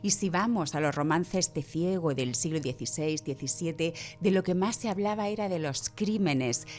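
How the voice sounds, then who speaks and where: A woman's voice: on the low side, moderate pace, darkish tone, soft background music. A woman giving reflective, explanatory answers as a podcast guest. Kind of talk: conversational interview answers.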